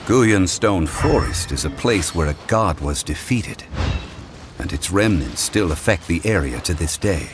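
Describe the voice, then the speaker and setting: An actor voicing a calm, learned gentleman in a game dub, speaking in a measured, formal way. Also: low voice. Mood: serious. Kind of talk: scripted English game dub dialogue. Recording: dramatic music under the voice.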